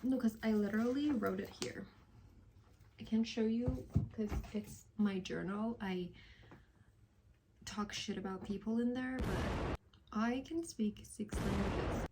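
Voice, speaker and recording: A woman's voice: mid-range voice, slow pace, noisy background. A young woman in her twenties with a light Persian accent, chatting casually and rambling to camera about learning languages. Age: 20s. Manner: chatty and rambling, self-deprecating asides. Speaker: vlogger. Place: indoors at home at golden hour, with iced coffee.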